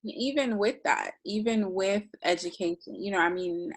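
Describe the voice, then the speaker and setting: A woman's voice: mid-range voice, normal speed. A woman speaking thoughtfully as a podcast guest, often restarting her sentences. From United States. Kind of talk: reflective casual conversation.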